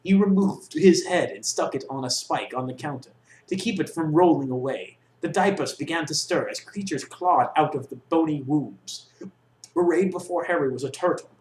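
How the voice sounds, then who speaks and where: Young male story reader, theatrical and mock-serious, lightly high-pitched, recorded in a bare reverberant room. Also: moderate pace, darkish tone. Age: young adult. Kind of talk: reading a comic story aloud. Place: small dorm room with block walls, indoors.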